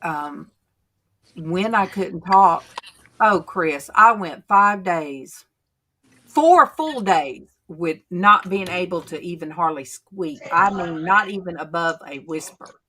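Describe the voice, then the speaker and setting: A woman, hosting a casual faith chat and telling stories in a chatty, drawn-out way. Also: on the low side, animated, a bit bright. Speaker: channel host.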